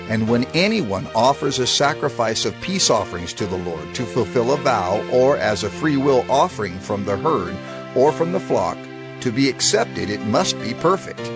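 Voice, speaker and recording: An actor playing a solemn, instructive reciter of divine law in a formal, measured dramatized scripture reading. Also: male voice, medium pitch. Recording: music under the voice. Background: music.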